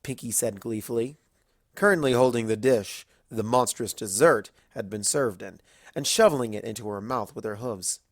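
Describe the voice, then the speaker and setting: A man's voice: medium pitch, normal speed, dry recording, clean studio sound. A man reading a scripted story aloud as its narrator.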